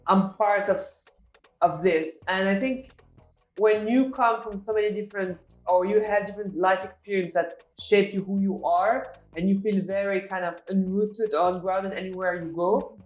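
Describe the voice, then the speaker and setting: A woman's voice: medium pitch, fairly even intonation, moderate pace, reverberant, soft background music. A woman from Tunisia, a guest on a podcast, talking in a casual, reflective way. She leans on fillers and laughs easily. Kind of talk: casual interview chat.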